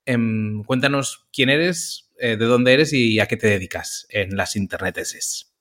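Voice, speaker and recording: A man's voice: medium pitch, unhurried, a bit bright. A man co-hosting a podcast, asking a guest to introduce herself in an easygoing way. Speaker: podcast co-host. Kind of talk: casual interview chat.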